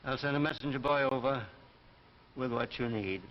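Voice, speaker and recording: A man's voice: medium pitch, slight background noise, lightly acted. Actor as solemn old man, dull vintage recording. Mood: calm, resigned. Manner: measured, formal, solemn. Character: grave old male benefactor with uncanny foresight. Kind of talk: 1950s television drama acting. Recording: dull vintage 1950s broadcast, loud music behind.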